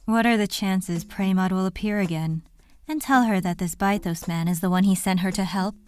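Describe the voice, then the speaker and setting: A woman's voice: medium pitch, moderate pace, faint music behind, lightly acted. A woman playing a curious, questioning adventurer in a fantasy audio drama.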